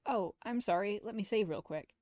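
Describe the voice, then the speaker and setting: Woman reading as mellow, considerate young man. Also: mid-range voice, normal speed, dry recording, clean studio sound, lightly acted. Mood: apologetic. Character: awkward, considerate young man named Leon, visual novel protagonist.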